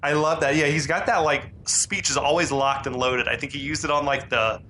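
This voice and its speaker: Man, bright forceful voice, casual podcast recap, faint rumble behind. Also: steady tone, fairly quick. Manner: laid-back, retells scenes with quoted lines. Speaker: podcast co-host. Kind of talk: casual movie recap chat.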